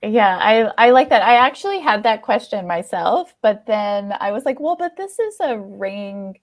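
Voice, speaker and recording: A woman's voice: mid-range voice, animated, normal speed, no echo, clean studio sound. A woman hosting a podcast, chatting casually in an enthusiastic, affirming way as she interviews a guest. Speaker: podcast host.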